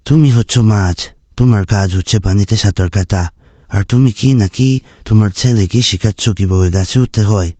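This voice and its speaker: Man voicing a deep, mellow, commanding cartoon beast elder. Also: normal speed, dry recording, lightly acted. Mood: stern, admonishing. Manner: authoritative, admonishing. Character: grave lion king and wise fox scholar. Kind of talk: animated fable dialogue.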